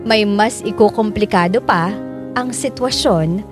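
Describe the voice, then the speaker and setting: A woman's voice: mid-range voice, unhurried, natural voice. A woman playing a conflicted, lovestruck teacher who narrates her confession in a radio drama. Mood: reflective, confessional. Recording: music behind the voice.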